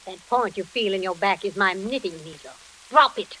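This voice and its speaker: A woman's voice: medium pitch, normal speed, sharp tone, close to an everyday voice, rough recording. An actress playing a steely, motherly wife in a 1940s radio thriller, cool and precise. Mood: cool, threatening. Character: steely motherly wife who threatens with knitting needle. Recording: hissy old radio recording.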